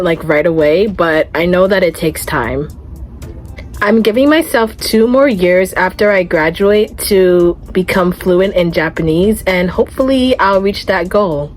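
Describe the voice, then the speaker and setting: Twenty-something woman vlogging, kinda low voice, chatty and earnest, soft music behind. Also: rough recording. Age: 20s. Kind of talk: casual talking-to-camera vlog.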